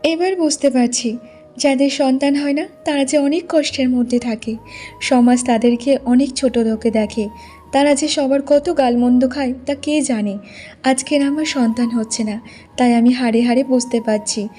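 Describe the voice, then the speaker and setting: A woman's voice: high-pitched, steady tone, normal speed, warm tone, faint music behind. A woman playing a meek, sorrowful village wife in a cartoon, speaking in a plaintive, earnest way. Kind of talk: Bengali moral cartoon voice acting.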